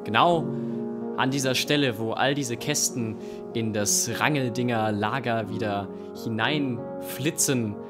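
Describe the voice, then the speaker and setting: A young man narrating an improvised audio play live on stage into a table microphone. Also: on the low side. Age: young adult. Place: small indoor theatre stage. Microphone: microphone on a table stand. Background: acoustic guitar music.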